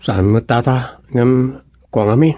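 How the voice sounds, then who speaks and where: A man acting in a radio comedy as an eager young man pleading with an elder, halting and stammering as he talks. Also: mid-range voice, warm tone, no echo, studio quality, lightly acted. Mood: hesitant.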